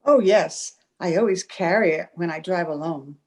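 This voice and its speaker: A woman's voice: lowish voice, moderate pace, darkish tone, natural voice. A woman in an old-time radio play, acting an anxious, devoted young sweetheart in an earnest, fretful, melodramatic manner. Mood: uneasy. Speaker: amateur radio play actor. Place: home, over a video call.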